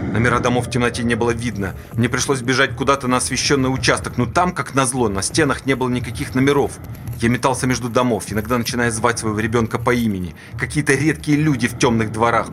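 A man's voice: medium pitch, fairly even intonation, quick pace, a bit bright, lightly acted. An actor playing an agitated ex-smoker father, telling his ordeal as an intense theatrical monologue on a noisy stage recording. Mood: tense, urgent. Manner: intense, dramatic storytelling.

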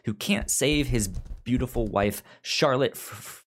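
A man hosts a podcast in a conversational way, sometimes slipping into reading. His voice sits at a middle pitch, and he stutters, repeating sounds and words as he goes. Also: a little slow. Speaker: podcast host. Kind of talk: conversational pitching of media picks, partly reading synopses.

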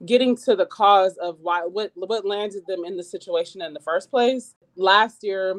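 A woman guest on a radio talk show with a squeaky voice, speaking earnestly as a community organizer, with many fillers and restarts. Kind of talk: radio interview.

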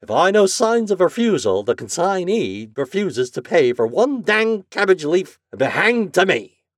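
A man's voice: high voice, sing-song, normal speed, dry recording, clean studio sound, voice pushed up. A man voicing a blustery, stubborn Irish express agent in a storybook reading, with emphatic folksy talk. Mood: wry. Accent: stage Irish.

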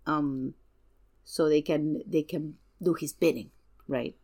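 A woman in a casual film discussion, speaking earnestly and emphatically and pausing to search for words. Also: low-pitched, steady tone, mellow. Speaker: podcast guest.

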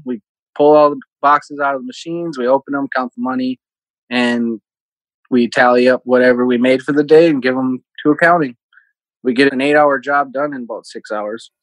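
A man's voice: mid-range voice, a bit bright, no echo, low-quality recording. A man in his thirties from Minnesota, giving casual, conversational answers as an interview guest. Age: 30s. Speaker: interview guest, casino team supervisor.

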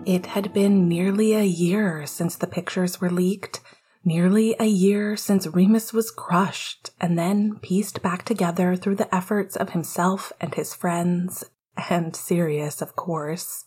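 A woman reading fiction aloud as a scripted narration, with a husky, breathy voice and a soft, gentle storytelling manner. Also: fairly low pitch, steady tone, takes it slowly. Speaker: podfic reader.

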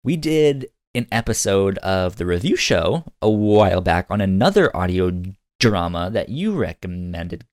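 Guy w a lowish voice chatting on a review podcast, stumbles and repeats words, very dry sound. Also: sing-song, darkish tone, no room sound. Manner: halting, often repeating words. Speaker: podcast co-host. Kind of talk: casual podcast review chat.

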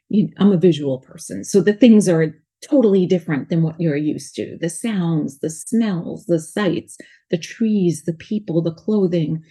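A woman's voice: lowish voice, mellow, breathy edge. A woman in her forties with a New York accent, talking earnestly in an interview as a life coach, rambling and restarting her sentences. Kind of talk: casual interview talk.

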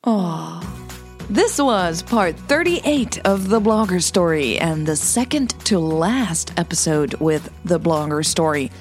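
Woman narrating her romance podcast, lowish voice, takes her time, bit of music under it. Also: animated, slow.

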